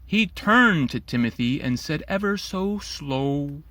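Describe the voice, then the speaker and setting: A man's voice: on the high side, expressive, takes it slowly, pushed and bright, no echo. A man narrating a rhyming children's storybook in a theatrical, playful way.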